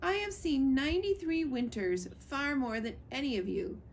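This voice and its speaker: A woman voicing a wise, very old turtle in a storybook, slow, deliberate and grave. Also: high-pitched, very animated, slight background noise, pitched above the performer's own voice. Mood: grave, knowing. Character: wise, solemn ninety-three-year-old turtle elder. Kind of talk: storybook character voice.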